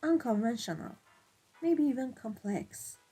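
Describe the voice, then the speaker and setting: A woman playing a cold, commanding vampire mafia boss in an ASMR roleplay, speaking in a deliberate, imperious way. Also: on the high side, lively intonation, mellow, slightly rough, full character voice, poor audio. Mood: intrigued, probing. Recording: music behind the voice. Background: classical background music.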